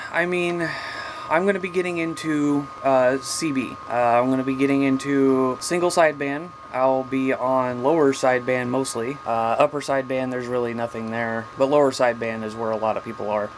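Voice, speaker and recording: Plain-spoken man, noisy background. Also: medium pitch. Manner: plain-spoken, folksy, mildly salty. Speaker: hobbyist video host. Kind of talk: casual unscripted show-and-tell vlog.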